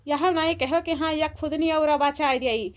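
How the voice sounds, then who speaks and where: A woman's voice: high-pitched, steady tone, normal speed, natural voice. A woman with an Awadhi accent playing a scolding older village mother-in-law in a comedy cartoon, firing repeated rhetorical questions. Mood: bitter reproach.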